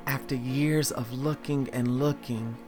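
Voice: medium pitch, steady tone, measured pace, natural voice. A performer voicing a confident woman in a tuxedo, awestruck and dreamy, with faint music behind.

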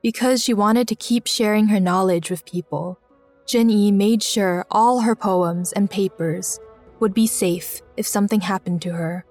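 A woman reading a scripted biographical story for children in a measured, clearly enunciated storytelling way. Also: medium pitch, steady tone, darkish tone, soft background music. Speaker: narrator of a children's biography podcast.

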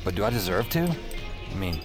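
A man's voice: on the low side, sing-song, fairly quick, music in the background. An actor playing a world-weary, wisecracking drinker who narrates his own nights in an audio drama, with a sardonic, wry delivery. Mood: smitten, rambling. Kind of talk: first-person audio drama narration and dialogue.

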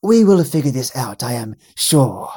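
A man voicing a calm male archaeologist in a story reading, speaking in a reassuring tone. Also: mid-range voice, measured pace, breathy edge.

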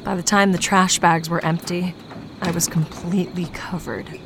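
A woman acting a beleaguered podcast investigator in an audio drama, earnest and a little wry. Her voice sits slightly low for a woman, with faint ambient noise behind it. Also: steady tone, moderate pace, close to an everyday voice. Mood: wry, bleak narration. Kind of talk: first-person audio drama narration and dialogue.

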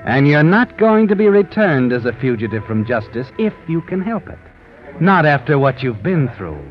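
A man's voice: medium pitch, very animated, moderate pace, dull audio, close to an everyday voice. Man acting an ominous, swooping 1940s radio mystery narrator. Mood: ominous, taunting. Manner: dramatic, insinuating delivery. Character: ominous, taunting omniscient male mystery narrator. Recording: band-limited 1940s radio with music.

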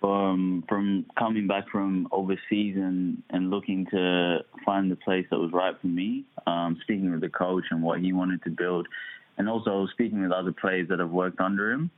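A man's voice: sits low, flat delivery, normal speed, no echo. A young man, a footballer born in Kenya and raised in Adelaide, answering interview questions with many hesitant ums. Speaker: footballer guest. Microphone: phone call. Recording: tinny phone line.

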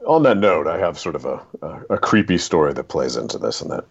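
A man with a very deep, gravelly voice speaking as a guest author, discussing stories in a deliberate, dramatic storyteller's way. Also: big pitch swings, muffled recording.

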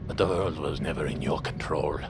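An actor playing a grizzled older seafarer, brooding and theatrical, who warns fervently of monsters in an audio drama. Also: male voice, extremely low pitch, steady tone. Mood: fervent, scolding. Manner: slow, brooding, theatrical storytelling that swells to intense warnings. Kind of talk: audio fiction drama acting. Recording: dramatic music behind the voice.

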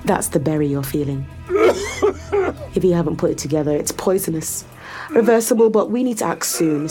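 A woman's voice: lowish voice, natural voice. An actress playing a cold, menacing woman interrogator in a science fiction audio drama, controlled and taunting as she lays out her case. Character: cold, menacing woman interrogator in a near-apocalypse sci-fi world.

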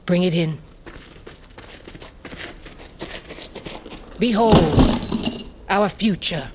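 A woman as a low-voiced, commanding warrior queen. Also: heavy background noise, close to an everyday voice. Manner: imperious, measured. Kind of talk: fantasy audio drama.

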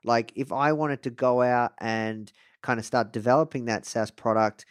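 A man hosting a podcast interview, talking in a relaxed, conversational way with lots of filler phrases. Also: mid-range voice, moderate pace, clean tone, no room sound, clean studio sound. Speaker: podcast host. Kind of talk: casual interview chat.

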